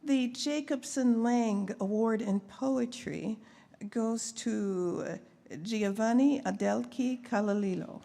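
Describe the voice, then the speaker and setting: A woman presents at a live awards ceremony at a slow, unhurried pace. Her voice has some creak in it, and she breaks off into chatty asides. There is faint noise behind her. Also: on the high side, warm tone. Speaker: poet. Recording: faint background noise, live event microphone.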